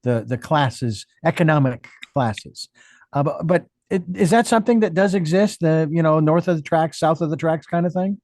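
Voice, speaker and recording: A man with a somewhat high voice hosts an interview, musing his way through long questions with restarts. His voice is recorded dry and close. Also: moderate pace, darkish tone, no echo. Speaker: interview host. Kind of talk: conversational interview.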